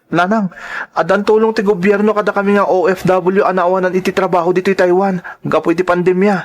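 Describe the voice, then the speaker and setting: An actor playing an earnest, respectful adult son and migrant worker in a radio drama, with an Ilocano accent. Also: male voice, sits high, flat delivery, fairly quick, lightly acted. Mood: earnest, reassuring. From Philippines.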